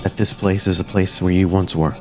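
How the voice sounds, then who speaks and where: A man voicing an eerie male apparition in a tabletop horror game, with drawn-out, cryptic delivery. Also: low voice, steady tone, soft background music, close to an everyday voice. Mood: haunting. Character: eerie, echoing male apparition speaking in riddles.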